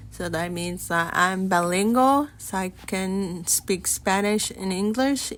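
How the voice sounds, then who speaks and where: A woman in her twenties, from Mexico, hosting a podcast and telling her life story in an earnest way with pauses and restarts. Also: mid-range voice, a bit bright. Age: 20s. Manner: earnest, unhurried, talks with many pauses and restarts. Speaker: podcast host.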